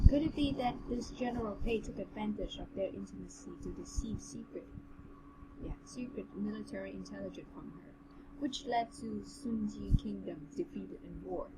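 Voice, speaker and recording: A woman's voice: medium pitch, heavy background noise. A woman doing amateur narration of a fantasy novel, as co-host of a reading show, on a home recording.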